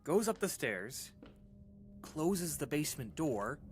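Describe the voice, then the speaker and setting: A voice actor playing a rattled person recounting a frightening childhood memory in a halting, conversational way, with stammers and dramatic pauses. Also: medium pitch, big pitch swings. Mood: casual recollection. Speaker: storyteller. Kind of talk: audio drama monologue, conversational horror storytelling. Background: music.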